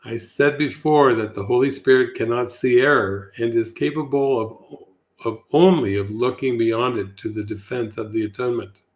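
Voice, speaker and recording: A man speaking as a spiritual teacher in a devotional setting, with a measured and earnest delivery. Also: medium pitch. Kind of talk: devotional reading and informal spiritual talk.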